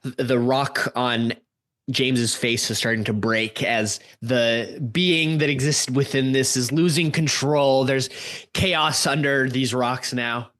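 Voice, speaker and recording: A man narrating an improvised drama as a podcast performer, with deliberate, grandiose phrasing. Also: medium pitch, steady tone, a bit bright.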